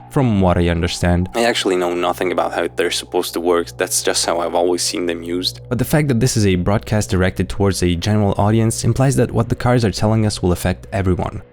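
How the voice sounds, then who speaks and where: A man's voice: low-pitched, darkish tone, slight background noise. A man hosting and narrating a scripted analysis, explaining things directly to viewers and reading out quotes. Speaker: narrator and video host.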